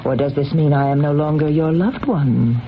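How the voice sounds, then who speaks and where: A woman's voice: low voice, moderate pace, lightly acted. An actress playing a possessive, wealthy woman with a theatrical, coaxing and cutting manner in an old-time radio drama. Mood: coaxing, teasing. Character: imperious, possessive wealthy woman, affectionate then scolding. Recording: muffled, noisy old radio recording.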